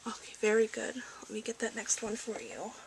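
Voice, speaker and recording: Soft gentle woman doing asmr doctor checkup, kinda high voice, whispery bits, slight noise behind it. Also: lively intonation, a little fast, creaky, poor audio. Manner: gentle, hushed, reassuring, dropping into whispers. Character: polite research lab doctor giving a check-up. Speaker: ASMR roleplayer playing a lab doctor. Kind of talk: soft-spoken ASMR medical exam roleplay.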